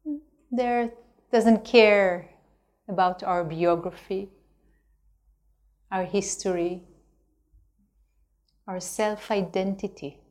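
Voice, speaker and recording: A woman teaching a guided meditation, speaking gently and unhurriedly with long pauses between phrases. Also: medium pitch, steady tone.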